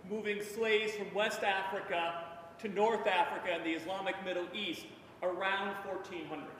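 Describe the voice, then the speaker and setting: A man reading a caption aloud in a plain, measured way. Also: high-pitched, fairly even intonation, fairly bright tone. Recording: distant, echoey hall with faint room noise.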